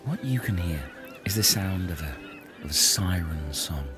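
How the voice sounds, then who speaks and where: A man playing an earnest, pedantic castaway explaining things in a measured way in a comedy audio sketch. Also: low-pitched, lively intonation. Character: earnest, long-winded know-it-all castaway man. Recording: music behind, blown-out homemade audio.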